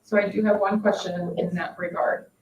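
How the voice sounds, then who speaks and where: A woman's voice: mid-range voice, little pitch movement, normal speed, lo-fi sound. A woman who is a board member, speaking carefully and precisely as she raises questions in a meeting. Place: indoor public board meeting room. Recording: echoey room, distant mic, background noise.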